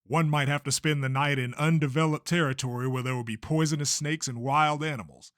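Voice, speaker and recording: Man acting bright, grandiose race promoter. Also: a little high, steady tone, no room sound, clean studio sound, lightly acted. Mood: measured, assured. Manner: grandiose, declamatory, deliberate. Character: showy, unflappable male race promoter at a press conference. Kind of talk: amateur anime-style manga dub.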